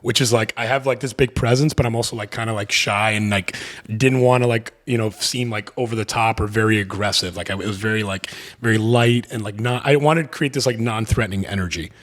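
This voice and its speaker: A man in his thirties from the Miami area, a podcast guest with a deep voice, talking in a rambling way full of fillers and restarts. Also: fairly quick, a bit bright. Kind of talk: casual podcast conversation.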